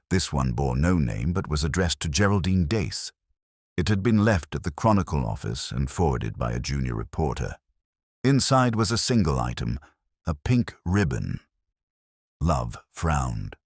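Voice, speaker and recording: A man reading a mystery story as a scripted narration, in a measured, literary storytelling manner. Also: rumbling low, no room sound, clean studio sound. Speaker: audiobook narrator.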